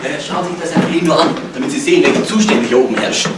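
A man's voice: on the high side, fast, natural voice. A man playing a brusque, indignant landlord in a 1970s radio crime drama, speaking in a curt, stiffly formal, complaining way. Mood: stern. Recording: distant, echoey, noisy old radio play.

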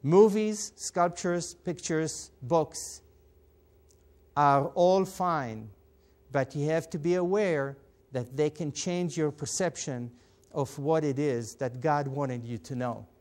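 A middle-aged man with an Israeli accent, a native Hebrew speaker, teaches scripture on a studio TV program. His voice sits a little high, and he talks with lively gestures and frequent filler sounds. He sounds close to a lapel mic in a quiet studio. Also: slow pace. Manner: animated, gesturing, with many fillers. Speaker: Bible teacher and program host. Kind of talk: televised Bible lesson. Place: indoor TV studio set.

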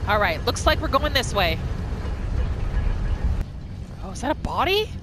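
A woman giving casual, excitable role-play commentary as a gaming video host, often repeating her phrases. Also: a little high, measured pace, noisy background. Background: game music and sound effects.

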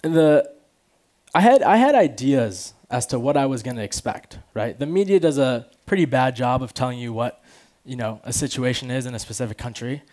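A man's voice: medium pitch, lively intonation, moderate pace, darkish tone. An American man of about twenty giving an informal, conversational stage talk, casually peppered with "you know" and "like". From Chicago, United States, born in Afghanistan. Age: around 20. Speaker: conference speaker. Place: large auditorium stage with audience. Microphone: headset.

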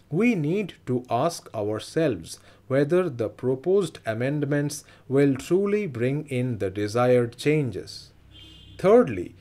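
Indian man, mid-pitched voice, very slow steady dictation pace, parliamentary text for steno practice. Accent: Indian English. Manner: measured, evenly spaced phrases for transcription.

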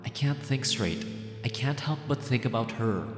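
A man's voice: mid-range voice, animated, moderate pace, natural voice. A man playing an obsessive, lovesick young ex-boyfriend in an ASMR roleplay monologue, anxious, possessive and agonized. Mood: desperate, longing. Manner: brooding, agonized, self-questioning. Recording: reverberant echoey voice over music. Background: music.

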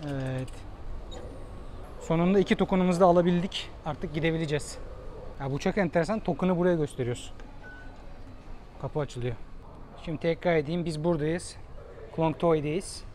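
A man's voice: on the high side, normal speed, long pauses, darkish tone. A man narrating a casual travel vlog, thinking aloud and chatting with his companion as he buys a metro token. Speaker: travel vlogger. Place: metro station and train, indoors. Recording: noisy public space.

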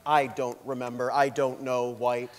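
A man's voice: mid-range voice, flat delivery, measured pace, close to an everyday voice. A young man playing an evasive, stubborn school official on the witness stand in a stage reading, answering curtly. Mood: defensive, flustered. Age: young adult. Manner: curt, stubborn denials. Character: defensive, evasive male school board president on the witness stand. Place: large theatre stage, indoors. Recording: echoey theatre hall.

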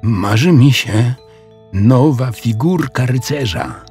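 A man's voice: medium pitch, lively intonation, slow pace, a little mellow, soft background music. A man voicing a naive young schoolboy with an earnest manner in a children's audio story. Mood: cheerful.

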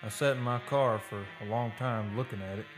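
An actor playing a haunted oil driller in a radio drama, telling his story in a folksy, halting, suspenseful way. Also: medium pitch, natural voice. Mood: brooding, eerie. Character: haunted male oil driller recounting a terror. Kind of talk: live old-time radio drama monologue. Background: live dramatic music.

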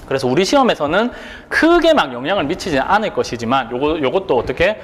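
A man's voice: fairly high pitch, sing-song, fast talker, a bit bright, in a large hall. A man lecturing as an exam prep instructor, asking rhetorical questions and answering them himself, and often checking in with the listener. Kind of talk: online lecture.

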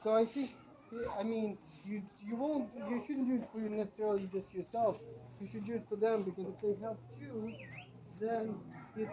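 A woman's voice: a little high, reverberant, background noise. An American woman vlogging outdoors in a crowded slum, talking casually with many restarts and fillers. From United States. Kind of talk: casual conversation. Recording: muffled camera audio, crowd behind.

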